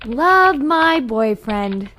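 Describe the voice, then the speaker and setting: A woman playing an exasperated girlfriend in an audio play, sniping with drawn-out, pointed phrasing. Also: high voice, animated, bright, forceful tone, exaggerated voice. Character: exasperated girlfriend sniping at her boyfriend online. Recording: noisy, keyboard typing behind.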